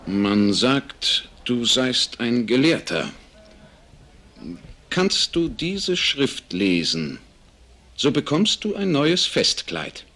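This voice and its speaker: A husky caliph from a fairy-tale puppet play. He speaks unhurriedly, commanding and playful, with theatrical swoops in his voice. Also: mid-range voice, sing-song, extremely slow, sharp tone, exaggerated voice.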